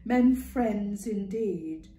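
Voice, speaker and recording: A woman performs a shrewd old matron, loud, blunt and worldly, with earthy dialect and a knowing tone. Her lively voice swings up and down and lands in a roomy, echoing space. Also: fairly high pitch, slow pace, slight background noise, pitched above the performer's own voice. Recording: roomy echo.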